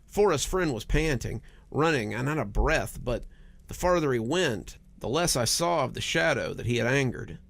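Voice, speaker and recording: Male horror storyteller, lively swooping intonation, creaky voice, dramatic scripted narration. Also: medium pitch, normal speed, no echo.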